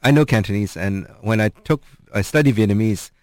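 Very deep-voiced male interviewer. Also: fairly quick, a little mellow, dry recording, clean studio sound. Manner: thoughtful, hesitant, many ums. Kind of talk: casual interview chat.

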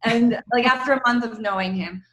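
A woman from Croatia, a novelist guest on a radio interview by phone, chatty and animated, laughing often. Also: a little high, fairly quick, reverberant, rough recording. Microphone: phone call.

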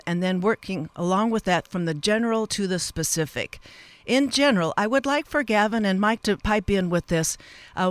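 A woman hosting a live radio interview show, with a wordy, halting delivery that wanders into asides. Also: medium pitch, dry recording.

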